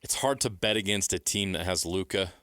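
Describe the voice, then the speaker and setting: A man's voice: low-pitched, brisk, fairly bright tone, dry recording, clean studio sound. An American man hosting sports talk, giving opinionated predictions in short, declarative sentences. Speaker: sports talk show host.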